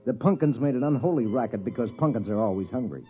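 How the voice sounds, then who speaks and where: A man's voice: mid-range voice, lively intonation, fast, natural voice. An actor playing a wry space-expedition crewman who narrates a 1950s sci-fi radio drama with dramatic storytelling delivery. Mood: matter-of-fact. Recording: muffled old radio recording with music.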